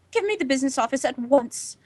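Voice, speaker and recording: An actress playing a nervous, imperious housewife on edge, in a melodramatic 1940s radio drama style. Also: female voice, high voice, animated, moderate pace, darkish tone, no echo. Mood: haughty, outraged. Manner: haughty, melodramatic, agitated. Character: nervous, imperious, panicking housewife alone at night.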